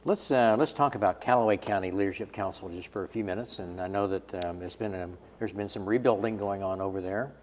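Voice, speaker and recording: A man hosts a small local interview program, greeting guests in a friendly way and restarting sentences often. His voice is on the low side. A long echo and faint hiss hang on the sound. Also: a little fast, few pauses. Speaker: host, chapter executive director. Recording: echoey studio with faint hiss.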